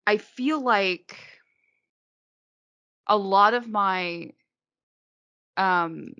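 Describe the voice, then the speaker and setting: A woman's voice: mid-range voice, extremely slow, pushed and bright, smooth, no echo, dull audio. A woman being interviewed as an author, answering in a rambling casual way full of "like" and restarts, hiccuping now and then.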